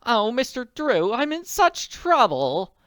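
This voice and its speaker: A woman voicing an agitated, elegant middle-aged woman in a storybook, pleading in a breathless, drawn-out way. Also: a little high, very animated, fairly bright tone, no room sound, voice pushed up, full character voice. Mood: distressed. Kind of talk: audiobook character voice.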